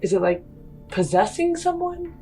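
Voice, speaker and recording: A woman's voice: very high-pitched, big pitch swings, moderate pace, dark tone, soft background music. A woman playing a tabletop role-playing game, speaking casually in character.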